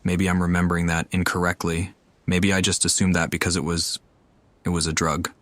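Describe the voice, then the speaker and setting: A man comments casually on a game as he plays. His voice is deep and very even in pitch, with a dry, close sound. The delivery is deadpan and thoughtful. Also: a little fast, dry recording. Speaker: game streamer. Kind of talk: offhand gameplay commentary.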